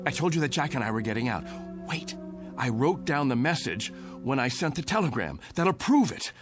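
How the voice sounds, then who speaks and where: An actor playing a bewildered, rattled Air Force colonel in a radio drama. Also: male voice, mid-range voice, big pitch swings, moderate pace, soft background music, lightly acted. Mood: urgent. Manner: bewildered, pleading, flares into anger.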